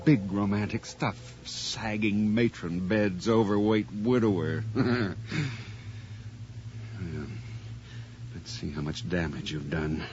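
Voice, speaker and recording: An actor playing an obsessive, browbeaten middle-aged husband who mutters excitably to himself in a radio drama. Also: male voice, fairly low pitch, slow pace, pauses often. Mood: bitter, muttering. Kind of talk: 1980s radio horror drama acting. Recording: dull, noisy old radio recording.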